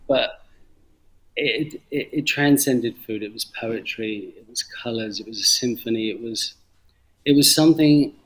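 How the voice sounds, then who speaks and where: A middle-aged man with a London English accent tells his own story reflectively in an interview, halting and repeating phrases for emphasis. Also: medium pitch, poor audio. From London, England. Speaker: interview guest, former banker turned coffee farmer. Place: remote video call from Indonesia.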